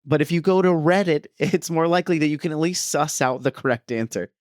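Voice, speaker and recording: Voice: medium pitch, fairly quick, no room sound. Casual podcast co-host in a dry recording. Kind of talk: casual movie discussion chat.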